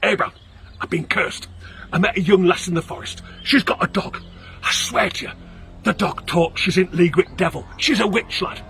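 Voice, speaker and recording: A man's voice: high voice, normal speed, gravelly, slight background noise. A man doing a frantic cursed old peddler. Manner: shouting, fearful, Northern dialect.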